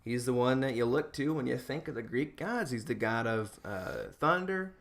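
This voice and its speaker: A man's voice: medium pitch, animated, moderate pace, a bit bright. An American man hosting a podcast, chatting casually and jokingly as he explains things to his co-host. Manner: joking, informal.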